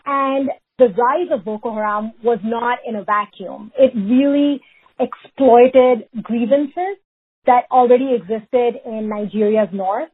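Husky woman on a phone-like line. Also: on the high side. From Pakistan. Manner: measured, hedging with "sort of" and "if you will". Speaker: researcher and guest. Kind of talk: explanatory interview answers.

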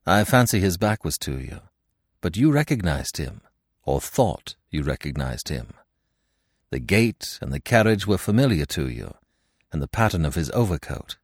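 A lovestruck young gentleman in an audiobook, played by a man. His lowish voice moves expressively up and down. He sounds earnest, intense and protective. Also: big pitch swings, moderate pace, no echo, studio quality, exaggerated voice. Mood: probing. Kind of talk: audiobook character dialogue.

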